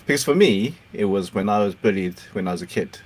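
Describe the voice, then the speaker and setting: A man's voice: on the low side, animated, a little fast, husky. A man whose first language is Cantonese, hosting a casual interview, encouraging and laughing often. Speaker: podcast host.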